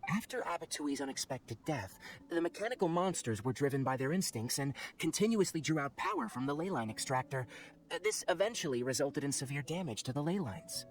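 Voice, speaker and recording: A man as a higher-voiced young scholar. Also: lively intonation, natural voice. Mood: thoughtful, explanatory. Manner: measured, analytical. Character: thoughtful young male forest scholar, analytical and composed. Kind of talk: video game dialogue, explanatory.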